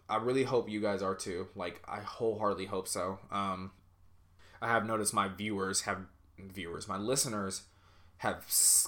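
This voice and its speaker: Rambling podcast guy, low bright voice. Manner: rambling, self-deprecating, lots of ums and likes. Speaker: podcast host. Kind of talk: casual solo podcast monologue.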